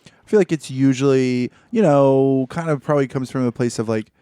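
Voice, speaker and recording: A man's voice: medium pitch, brisk, mellow, clean tone, no echo. A man co-hosting a comedy podcast, riffing in casual banter with mock-formal turns of phrase.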